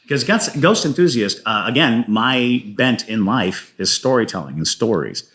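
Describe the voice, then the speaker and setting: A man's voice: mid-range voice, lively intonation, moderate pace, a bit bright. A man talking casually and at length as an interview guest, a wordy storyteller who explains his creative work. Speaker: guest playwright and podcaster.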